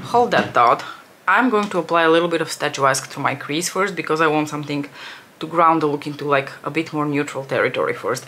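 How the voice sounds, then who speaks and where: A woman's voice: low voice, fairly bright tone, slight background noise. A young woman chatting casually through a makeup tutorial, rambling and correcting herself as she works. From Bulgaria. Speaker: beauty vlogger.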